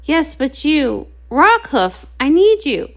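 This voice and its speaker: A woman voicing a small, clumsy, eager young male unicorn in a storybook, excitable and earnest. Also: high-pitched, takes it slowly, voice pushed up, heavily acted. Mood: pleading.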